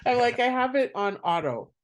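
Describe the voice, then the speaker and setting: Lady podcast host, soft voice, giggles a lot, says like constantly. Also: fairly high pitch, big pitch swings, moderate pace. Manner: soft-spoken, giggly, lots of "like". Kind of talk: casual chat.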